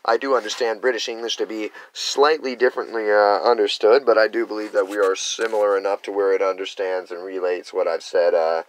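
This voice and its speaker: A man's voice: fairly low pitch, flat delivery, fairly quick, hardly any pauses, slight background noise, low-quality recording. An American man giving a rambling, earnest spiritual teaching, now and then acting out quoted reactions. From United States. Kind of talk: unscripted spiritual teaching monologue.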